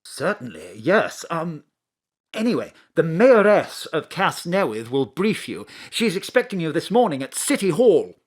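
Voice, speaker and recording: Woman as a plummy, animated, flirtatious diplomat. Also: sits low, a little slow, slightly rough, full character voice. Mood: jolly. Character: jolly, posh, awkwardly flirtatious male diplomat. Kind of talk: comic audio drama acting.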